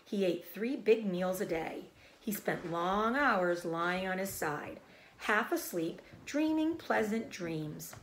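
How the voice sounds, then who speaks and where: A woman reading a children's storybook aloud as a teacher, in expressive narration. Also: mid-range voice, takes it slowly, a little hiss or hum.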